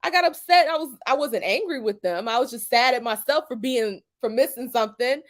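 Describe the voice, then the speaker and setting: A woman's voice: high-pitched, expressive, brisk. A young woman giving a casual opinion monologue to camera, emphatic and colloquial, often checking in with "okay?", swearing freely and laughing between points. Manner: colloquial, drops into "y'all" and "ain't". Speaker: YouTube commentator.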